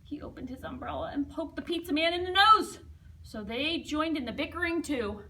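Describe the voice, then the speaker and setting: Bright, very high-voiced woman reading a storybook. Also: moderate pace, far from the mic. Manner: expressive, with dramatic emphasis and hushed asides. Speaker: storybook reader. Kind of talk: animated read-aloud of a children's picture book with asides to young listeners.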